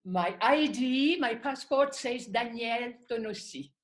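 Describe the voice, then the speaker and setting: A woman's voice: on the high side, unhurried. A woman from French-speaking Switzerland with a Swiss French accent, a guest artist in a conversational interview who laughs often and thinks aloud.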